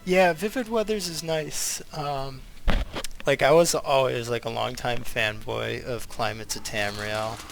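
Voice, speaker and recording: A man giving casual live video game commentary as a streamer, rambling and thinking aloud with halting pauses. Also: lowish voice, vocal fry, fairly bright tone.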